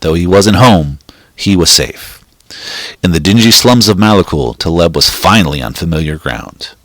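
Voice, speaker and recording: A man reading a short story aloud in a steady, measured way, with the odd small slip. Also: sits low, no room sound. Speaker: reader.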